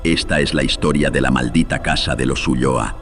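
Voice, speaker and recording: Very deep ominous horror narrator, male. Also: fairly even intonation, a little fast, fairly bright tone, faint music behind. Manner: ominous, solemn, theatrical. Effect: deepening voice filter. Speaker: horror storyteller and host. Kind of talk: scripted dramatic horror narration.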